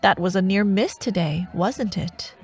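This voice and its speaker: A woman playing a menacing dark warlord who haunts nightmares, teasing and taunting in a fantasy ASMR audio roleplay. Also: medium pitch, faint music behind, lightly acted. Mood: mocking, sinister. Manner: teasing, taunting, slow and deliberate, slipping toward whispers.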